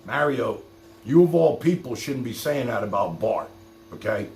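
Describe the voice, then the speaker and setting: Male streamer, streetwise and colloquial, lively intonation with a gravelly edge. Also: medium pitch, moderate pace, over music. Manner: colloquial, streetwise, direct address. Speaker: live stream show host. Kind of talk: casual live stream chat, taking calls. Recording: roomy, noisy live stream.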